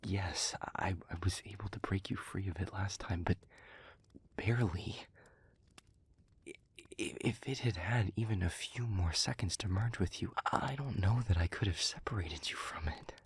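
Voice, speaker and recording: Very breathy husky voice, low pitch, male actor as protective anguished demon, halting earnest stammer, intimate audio roleplay. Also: full character voice. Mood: worried, disbelieving.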